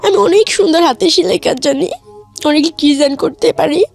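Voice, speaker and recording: A woman's voice: high-pitched, normal speed, vocal fry. A woman with a Bangladeshi accent earnestly recounting her own life story in colloquial speech as an interview guest. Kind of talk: personal life-story narration in a radio interview.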